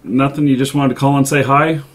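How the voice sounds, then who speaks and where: A man hosting a live show, chatting off the cuff with callers in a polite, halting and hesitant way. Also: mid-range voice, fairly even intonation. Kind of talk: unscripted call-in show chat.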